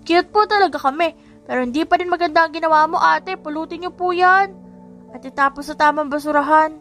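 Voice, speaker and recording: A feisty little boy put on by a woman narrator for an audiobook. He sounds small and high, indignant and protective, politely standing firm over background music. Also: brisk, fairly bright tone.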